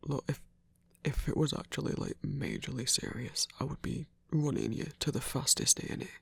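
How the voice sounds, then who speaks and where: A man voicing a gruff but caring male werewolf lover in an ASMR romance roleplay, with a British accent, a raspy half-whisper and a casual, coaxing manner. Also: medium pitch, fairly bright tone, no echo, full character voice. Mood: practical, reassuring. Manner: casual, coaxing, hesitant pauses.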